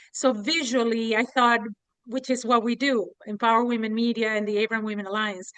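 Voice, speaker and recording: Clear-voiced woman presenting earnestly. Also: fairly high pitch, a bit bright, no echo, rough recording. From Venezuela and Lebanon. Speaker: guest speaker. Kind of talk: formal presentation.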